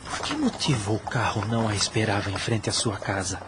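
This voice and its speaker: An actor playing a jealous, wounded suitor in an audio drama, with formal, theatrical diction. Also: male voice, on the low side, expressive, fairly quick, very noisy, lightly acted. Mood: suspicious, bitter. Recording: music under the voice. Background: music.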